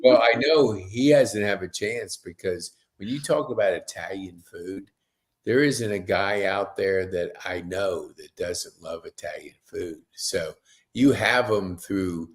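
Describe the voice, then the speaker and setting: A man hosts a relaxed, conversational interview in a fairly low voice. His delivery is slow and rambling, full of enthusiasm as he feels his way into each question. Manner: effusive, rambling, stop-and-start questions. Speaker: podcast host.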